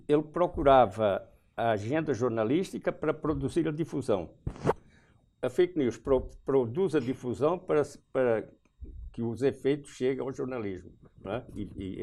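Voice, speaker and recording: A Brazilian male debate host with a medium-pitched voice. He moderates in a halting way, feeling for words and assembling his questions piece by piece. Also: a little mellow, slight background noise.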